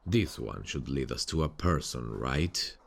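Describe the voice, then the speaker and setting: Man as deep, brooding amateur sleuth. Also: lively intonation, unhurried, creaky, full character voice. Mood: businesslike. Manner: low-key, brooding inner monologue and plain dialogue. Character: restless amateur sleuth working alongside a detective. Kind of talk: ASMR roleplay acting.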